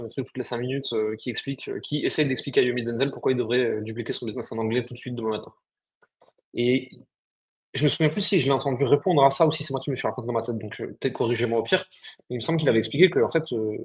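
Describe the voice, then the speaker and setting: A man talks business on a podcast. He speaks quickly, with a mid-pitched voice, and his explanations are blunt and full of slang. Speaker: podcast co-host, agency founder. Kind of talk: casual business chat.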